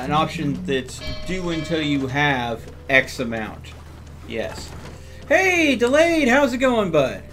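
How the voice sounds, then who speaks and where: A man's voice: fairly high pitch, a bit bright. Man casually commentating his game over music. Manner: talks to himself and chats to viewers. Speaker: video game streamer.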